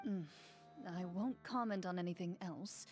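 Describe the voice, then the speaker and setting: An actress playing a composed, stern young woman hunter in a video game, speaking in a measured, formal, authoritative way. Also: fairly low pitch, darkish tone, natural voice. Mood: knowing, gentle. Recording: clean voice over background music. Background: instrumental game music.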